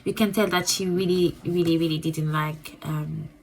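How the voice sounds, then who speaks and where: A woman with a French accent giving a casual, rambling book review as a booktuber, repeating words and filling pauses with ums. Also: deep voice, fairly even intonation, creaky, a little mellow, slight background noise.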